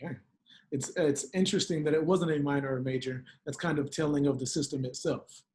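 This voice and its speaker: A man's voice: a little high, steady tone, fairly quick, darkish tone. A man hosting an interview, asking friendly, conversational questions. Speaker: host.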